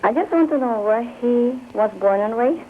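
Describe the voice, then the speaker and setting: A woman's voice: a little high, animated, moderate pace, slight background noise. A woman from the Philippines calling in by phone, asking doubtful, teasing questions. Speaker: caller. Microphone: telephone. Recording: tinny phone line.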